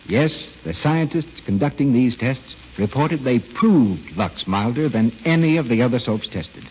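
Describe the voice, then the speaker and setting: A man's voice: medium pitch, lively intonation, measured pace, darkish tone. An American man announcing on 1940s radio with a polished, persuasive, emphatic delivery. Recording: dull, noisy old radio broadcast.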